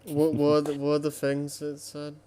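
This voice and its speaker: A man's voice: fairly high pitch, completely flat delivery, normal speed, mellow. A man chatting casually as a co-commentator on a storytime show. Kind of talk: casual chat.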